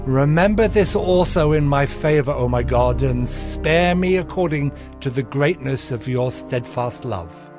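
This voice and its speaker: An actor playing a stern, zealous governor of ancient Judah, narrating dramatized scripture in an emphatic, declamatory way. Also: male voice, mid-range voice, expressive, unhurried, hardly any pauses, music in the background, close to an everyday voice. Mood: pleading, prayerful.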